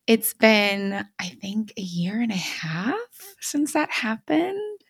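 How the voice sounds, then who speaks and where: A woman's voice: mid-range voice, big pitch swings, slow, husky, no room sound, clean studio sound. A woman hosting a podcast in an enthusiastic, conversational way, gushing and emphatic in informal language. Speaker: podcast host.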